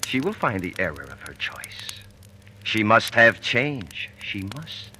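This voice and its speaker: Sly, sharp-voiced scheming villain. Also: male voice, lowish voice, sing-song, takes it slowly, slight background noise, heavily acted. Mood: sly goading. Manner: sly, insistent, theatrical cajoling. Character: scheming, two-faced military ensign, a villain. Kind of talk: 1940s radio Shakespeare acting. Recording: old radio broadcast with music.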